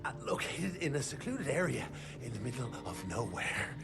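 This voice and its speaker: An actor playing a sly, talkative male arms-dealer informant, gravelly and hesitant, in a game cutscene. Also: big pitch swings, normal speed. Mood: conspiratorial. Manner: chattering, hesitant, shrill when panicked. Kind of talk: video game cutscene acting.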